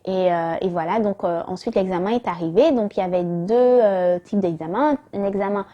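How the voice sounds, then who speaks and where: A woman's voice: medium pitch, normal speed, mellow, muffled recording. A young woman from Martinique, telling a personal faith testimony in a casual, hesitant way with many fillers. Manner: hesitant, many fillers, acts out her own past thoughts. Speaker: vlogger giving a testimony.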